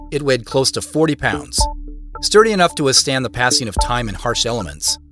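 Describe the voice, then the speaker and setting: A man acting as a reflective narrator telling his own mystery in an audio drama, in a measured, deliberate way. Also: mid-range voice, close to an everyday voice. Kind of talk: first-person scripted audio drama narration.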